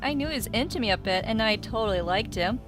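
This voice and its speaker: A woman's voice: a little high, lively intonation, fast talker, lightly acted. A woman playing a bubbly, flighty teenage valley girl superhero in an audio drama, breezy and slangy. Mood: giddy, coy. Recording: music behind the voice.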